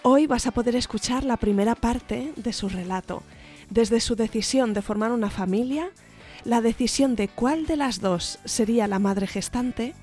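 A woman's voice: a little high, darkish tone, no echo. A woman hosting a podcast, reading a scripted intro and then asking her guests questions in a friendly, enthusiastic way. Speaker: podcast host.

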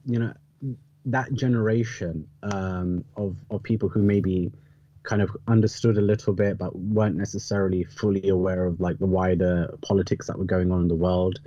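A man in his thirties speaks in a deep, soft-edged voice, picking his words as he goes. He sounds like a podcast host in reflective conversation. The recording is close and dry but slightly dull. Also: level tone, moderate pace, no echo.

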